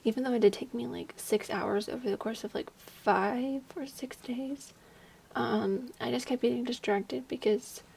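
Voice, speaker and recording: Young woman, slightly hoarse, hushed home voice-over, chatty and rambling, a bit high, gravelly and breathy. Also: measured pace. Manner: rambling, chatty, many fillers; hushed, keeping quiet at night. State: slightly hoarse, losing her voice. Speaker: video creator. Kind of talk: casual voice-over commentary on a game build. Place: home at night, at a desk.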